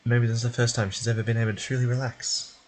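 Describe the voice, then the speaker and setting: A man's voice: mid-range voice, little pitch movement, fast, slight background noise. A man streaming a game, reading its prompts and narration aloud.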